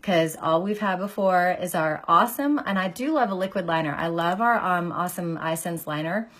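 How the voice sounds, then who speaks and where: Woman, 40s, makeup artist, friendly filler-heavy chatter, voice a bit lower than usual from illness, phone camera. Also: moderate pace, a bit bright. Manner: chatty, filler-heavy, greets viewers by name. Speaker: makeup artist and beauty seller. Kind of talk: casual makeup tutorial livestream. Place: small indoor room. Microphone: phone camera at arm's length.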